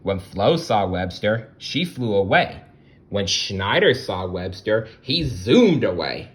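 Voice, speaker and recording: A man reading a children's story aloud as storyteller, expressive, with conversational asides to the listener. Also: lowish voice, takes it slowly.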